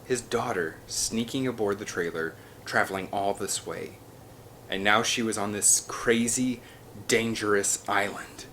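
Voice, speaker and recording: A man's voice: lowish voice, measured pace, bright, forceful tone, a little hiss or hum, rough recording. A man reading a children's adventure novel aloud with an emphatic, theatrical delivery. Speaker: audiobook reader. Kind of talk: dramatic scripted narration of a children's adventure novel.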